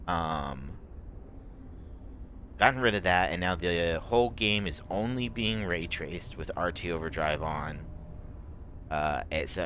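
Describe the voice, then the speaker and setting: Guy streaming a game, deep voice, kinda rough, rambles and restarts a lot, faint game sounds behind. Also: fairly bright tone, slight background noise. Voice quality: rough, strained voice. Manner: rambling, halting, many fillers and restarts. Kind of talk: casual gameplay commentary.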